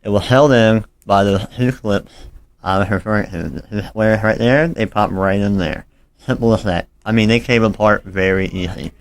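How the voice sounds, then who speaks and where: Low-voiced man giving a casual repair how-to. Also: moderate pace. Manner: informal, apologetic, talking while working with his hands. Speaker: phone repair tutorial host. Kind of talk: casual hands-on how-to narration.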